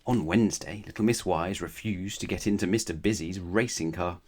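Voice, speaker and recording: Man, lowish voice, children's story narrator, measured, expressive phrasing. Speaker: storyteller reading a children's book aloud.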